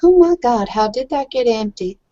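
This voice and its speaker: A woman's voice: a little high, animated, moderate pace, dark tone, muffled recording, voice pushed up, lightly acted. A woman voicing a heavy-drinking, awkward woman pestering a bar for refills. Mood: needy, exasperated.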